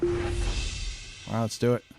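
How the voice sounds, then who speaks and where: A man hosting and playing a voice game, chatting casually and reacting aloud in an animated, informal way. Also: extremely slow, soft background music. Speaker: podcast host playing a voice game. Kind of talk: casual chat.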